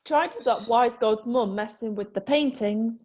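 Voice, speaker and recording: A woman's voice: a little high, measured pace, darkish tone, highs cut off. A woman reading the stage directions aloud in a plain, matter-of-fact way at an amateur online play reading.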